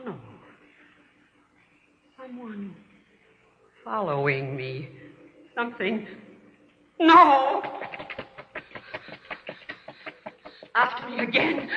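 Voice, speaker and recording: An actress playing a guilt-ridden, murderous stepmother trapped in a nightmare, melodramatic and halting, in old-time radio horror style. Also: high voice, heavily acted. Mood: panicked. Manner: melodramatic, halting fragments, from whispers to shrieks. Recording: dull, lo-fi old radio recording with noise.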